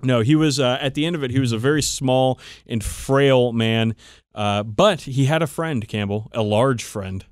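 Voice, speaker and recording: A man's voice: medium pitch, normal speed, clear, resonant tone, no echo, clean studio sound. A man hosting a podcast, casually telling tabletop game lore with sweary enthusiasm. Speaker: podcast host. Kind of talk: casual chat about tabletop wargame lore.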